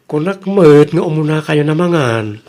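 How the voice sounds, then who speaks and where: Young male farmer acted by a man, Ilocano accent, fairly high voice, earnest, upright, radio drama. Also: expressive, moderate pace, darkish tone, lightly acted. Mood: calm, reassuring.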